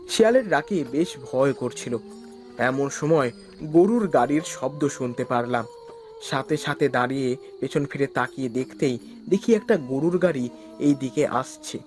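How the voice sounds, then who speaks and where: A man's voice: mid-range voice, a little hiss or hum. A man narrating a spooky cartoon story in the first person. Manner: stammers nervously when frightened. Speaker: narrator and lead character.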